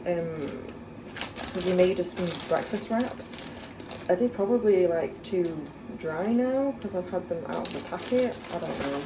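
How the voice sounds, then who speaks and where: Young Yorkshire woman, casual rambling vlog chat, slightly low voice, echoey room with noticeable background noise. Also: distant mic. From Yorkshire, England. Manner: chatty, rambling, with many fillers. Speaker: vlogger. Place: home kitchen, indoors.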